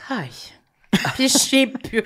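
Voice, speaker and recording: Lively young Quebec woman host. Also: high-pitched, slow. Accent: Quebec French. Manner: lively storyteller, self-mocking. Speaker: podcast host. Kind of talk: casual podcast chat.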